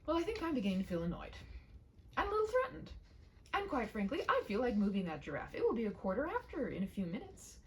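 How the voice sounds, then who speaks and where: A woman's voice: on the high side, wildly swooping pitch, fast, recorded from a distance, slight background noise, voice pushed up. A woman voicing an earnest, courteous shopkeeper husband who talks in a stiffly formal, worried way for a storybook. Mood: annoyed.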